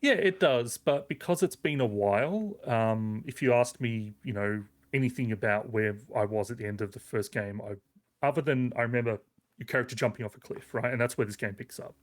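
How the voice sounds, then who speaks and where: A man's voice: mid-range voice, fairly quick. A man giving a casual, conversational game review as a podcast reviewer, rambling a little with hesitant but enthusiastic phrasing.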